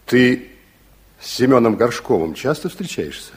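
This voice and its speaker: A man's voice: medium pitch, big pitch swings, a little slow, husky. An actor playing a weary, earnest, watchful secret policeman in a fatherly, probing way, in a 1960s Soviet radio play. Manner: probing, repeats words back. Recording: muffled-edged 1960s radio drama recording.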